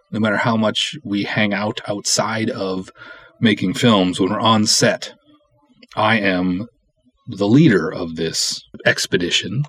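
A man's voice: fairly low pitch, breathy edge, dry recording. A man hosting a solo podcast, giving candid advice in a blunt, opinionated, emphatic way. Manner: blunt, opinionated, emphatic, acts out imagined dialogue. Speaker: podcast host, independent film writer-director.

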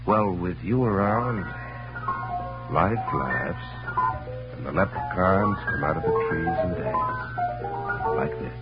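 A laid-back bluesman and cafe owner in a vintage radio drama. His low voice speaks in cryptic, poetic lines. Loud music plays under him on a muffled recording. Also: lively intonation, slow pace, pauses often. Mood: warm, whimsical. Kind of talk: 1940s-50s radio drama acting.

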